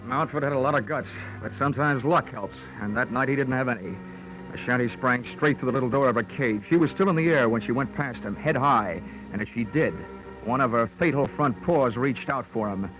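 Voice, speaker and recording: An American actor playing a dry, sardonic hard-boiled insurance investigator narrating a 1940s radio drama in punchy lines. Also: male voice, mid-range voice, brisk, music in the background, close to an everyday voice, low-quality recording. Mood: tense, grave. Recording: vintage 1940s radio recording.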